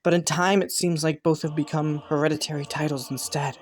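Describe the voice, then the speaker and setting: Man acting as a courtly young prince, higher-pitched. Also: little pitch movement, a little fast, soft background music, close to an everyday voice. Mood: calculating. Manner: formal courtly phrasing, softly hesitant at times. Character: ambitious young prince, courtly, scheming yet earnest. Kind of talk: romantic fantasy audio roleplay addressed to listener.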